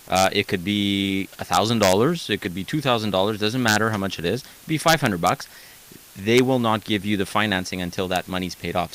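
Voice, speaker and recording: A man hosting a call-in radio advice show, talking in an energetic, emphatic way and checking in with "okay?". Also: fairly low pitch, poor audio. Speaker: radio host, mortgage broker.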